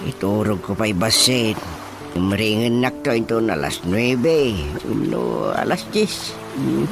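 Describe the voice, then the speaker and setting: An actor playing an easygoing middle-aged father and husband in a radio drama, with an Ilocano accent and relaxed, offhand replies. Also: fairly low pitch, slow pace, gravelly, full character voice. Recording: music bed under the dialogue. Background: music.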